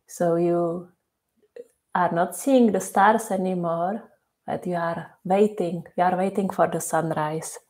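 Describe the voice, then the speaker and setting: A woman whose first language is Czech, guiding a meditation slowly and softly, repeating her phrases with long pauses. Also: on the low side. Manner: slow, soft and repetitive, with many pauses. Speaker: meditation guide. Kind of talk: guided meditation.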